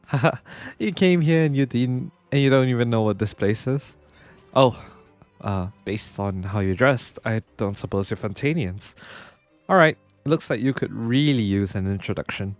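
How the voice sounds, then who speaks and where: A man's voice: mid-range voice, a little slow, no echo, faint music behind, natural voice. A man with a nasal voice reading the lines of a boastful, friendly young male prison inmate from a game. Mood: chummy boastful. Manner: boastful, chummy, showing off.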